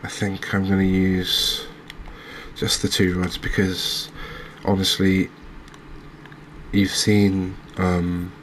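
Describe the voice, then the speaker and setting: A man giving gentle, unhurried ASMR commentary over a cozy fishing game, with hesitant, understated phrasing. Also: sits low, completely flat delivery, a little hiss or hum. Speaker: host, video game streamer.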